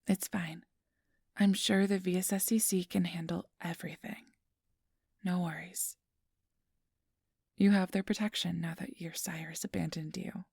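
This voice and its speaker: A woman playing a kindly, apologetic registration clerk in an ASMR roleplay, speaking softly and often in a whisper with a gentle, reassuring manner. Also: fairly low pitch, fairly even intonation, a little slow, pauses often, dry recording, studio quality, full character voice. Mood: apologetic, soothing. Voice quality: soft, breathy, often whispered. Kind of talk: ASMR roleplay, soft whispery speech to the listener.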